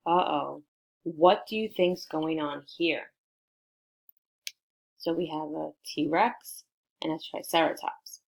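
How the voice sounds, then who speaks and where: A woman reading a picture book aloud to children in an expressive way, prompting her listeners with questions. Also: low-pitched, takes it slowly, creaky, long pauses, poor audio. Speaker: storybook reader for children.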